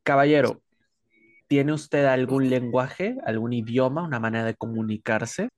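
A man's voice: mid-range voice, moderate pace, no echo, studio quality, natural voice. A man playing a formal, careful male agent. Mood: coaxing.

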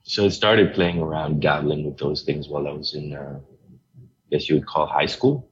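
A middle-aged man from Hong Kong, a guest telling his story in a relaxed, rambling way with many fillers. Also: rumbling low, moderate pace, slightly rough, muffled recording. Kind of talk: casual interview talk.

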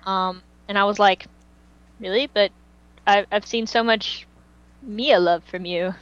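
A woman podcast host talks in a casual, slangy fan-chat manner. Her pitch sits in an average range for a woman. The audio is dull and lo-fi. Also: fairly even intonation, measured pace, smooth, dry recording.

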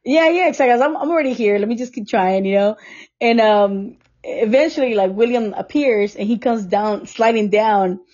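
A woman's voice: fairly high pitch, moderate pace, darkish tone, muffled recording. A woman from Costa Rica hosting a podcast, telling stories in a chatty way and laughing often. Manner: chatty storyteller who laughs often and acts out what others said. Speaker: podcast host. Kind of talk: casual chat over a recording app. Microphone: remote recording app.

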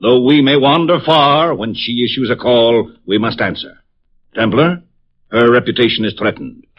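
An actor playing a pompous, hearty middle-aged ex-football alumnus in a 1950s radio drama, with an American accent and bombastic, solemn delivery. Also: male voice, medium pitch, normal speed, a little hiss or hum, close to an everyday voice. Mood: grave, indignant. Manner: bombastic, self-important, solemn declarations. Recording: muffled old radio recording.

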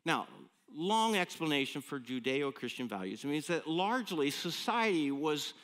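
A man's voice: fairly high pitch, moderate pace. A man of fifty-nine with an American accent, preaching in a conversational, folksy, humorous storytelling way with rhetorical questions. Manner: animated, folksy, self-deprecating, punctuated with rhetorical questions.

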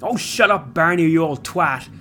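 A man voicing a frightened young Dublin man who rants high and loud, with a Dublin accent. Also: lively intonation, normal speed, soft background music. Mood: indignant. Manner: shrill, indignant ranting.

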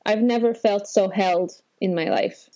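A woman's voice: mid-range voice, a little slow, dark tone, no room sound, dull audio. Polish-born woman, Polish first language, guest telling her life story; meandering and reflective, dry close sound. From Poland. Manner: rambling, reflective, frequent "like". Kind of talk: personal life story in an interview.

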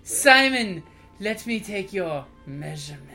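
A woman's voice: medium pitch, sing-song, measured pace, sharp tone, heavily acted. A woman voicing a smitten, fawning Icelandic male leather worker in a tabletop game, gushing and suggestive. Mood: flirtatious. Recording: music behind the voice.

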